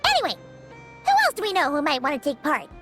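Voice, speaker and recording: A woman voicing a tiny, excitable, boastful girl companion with a squeaky childlike voice in a video game. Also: quick pace, faint music behind, full character voice. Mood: curious, skeptical. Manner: refers to self in third person, exclamatory.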